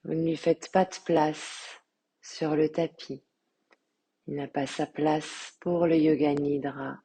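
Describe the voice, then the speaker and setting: Very slow, husky woman guiding meditation. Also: low-pitched. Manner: calm, soothing, measured with long pauses. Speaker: yoga teacher guiding relaxation. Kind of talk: guided meditation.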